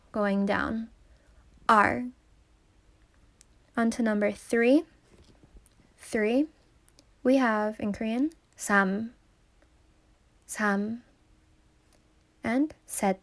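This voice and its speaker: A woman whose first language is English, slowly teaching number pronunciation and repeating each word carefully. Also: on the high side. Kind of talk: slow pronunciation lesson.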